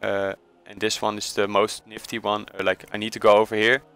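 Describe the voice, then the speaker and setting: Dutch-accented man, flat bright voice. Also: fairly low pitch, brisk, clean tone. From Netherlands. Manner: hesitant, many uh fillers and self-corrections. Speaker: game tutorial maker. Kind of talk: casual screen-recorded tutorial voice-over.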